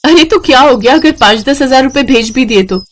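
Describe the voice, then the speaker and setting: A woman voicing a resentful, sharp-tongued village daughter-in-law in a story, blunt and complaining. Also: sits high, expressive, a little fast, a little hiss or hum, voice pushed up. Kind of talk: acted Hindi moral story dialogue.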